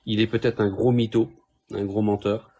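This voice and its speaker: A man's voice: on the low side, level tone, normal speed, mellow. A man talks in a casual debate, stumbling through restarts and fillers as he defends his position. His delivery is hesitant but earnest. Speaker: Raëlian guest in a debate.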